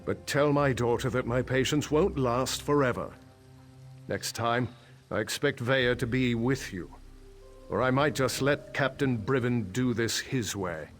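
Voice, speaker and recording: An actor playing a commanding middle-aged nobleman and worried father in a video game, authoritative and measured. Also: medium pitch, soft background music, natural voice. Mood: stern, resigned.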